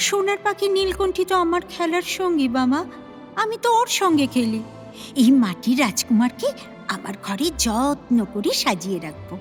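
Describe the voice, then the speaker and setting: A woman's voice: high-pitched, moderate pace, faint music behind, close to an everyday voice. A woman playing a sweet, devoted young princess in a fairy-tale audio drama, tender and girlish. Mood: delighted.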